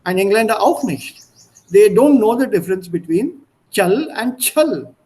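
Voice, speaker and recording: Male language tutor, Indian accent, high-pitched voice, explains didactically and demonstrates by repetition. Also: animated, measured pace, mellow. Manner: didactic, repeats and demonstrates sounds. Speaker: language teacher. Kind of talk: online language lesson.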